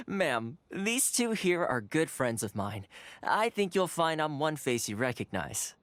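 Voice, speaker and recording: A man's voice: on the high side, huge pitch swings, slow pace, fairly bright tone, no room sound, heavily acted. A man voicing an affable young local fixer in a video game, breezy, persuasive and politely teasing. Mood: charming, persuasive.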